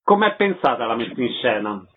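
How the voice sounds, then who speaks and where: A man's voice: mid-range voice, very animated, brisk, breathy edge, phone-quality sound. A man presenting and asking short questions in a radio theatre interview.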